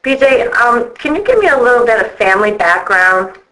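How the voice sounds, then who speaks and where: A woman's voice: on the high side, very animated, fairly quick, pushed and bright, rough recording. A woman asking questions in a casual phone interview, in an informal, chatty way.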